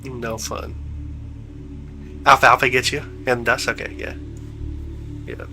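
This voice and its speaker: A stuffed-up man talking easily and loosely, with a gravelly edge to his voice. His tone is bright and forceful, and faint music plays behind him. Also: medium pitch, steady tone, takes it slowly. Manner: laid-back, slangy, chatty. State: stuffed up, congested. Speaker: livestream host of telescope views. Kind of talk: casual chat with live chat viewers.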